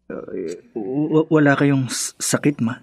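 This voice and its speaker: Gentle young Filipino husband, close dry sound. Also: male voice, medium pitch, animated, slow pace, husky, dry recording, exaggerated voice. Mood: hesitant, placating. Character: dutiful, affectionate young husband and son. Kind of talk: Filipino radio drama acting.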